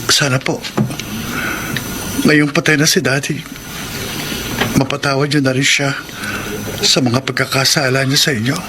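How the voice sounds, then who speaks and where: A man acting as a bereaved, respectful son in a Filipino radio drama. His medium-pitched voice pleads gently over very loud background noise. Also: natural voice.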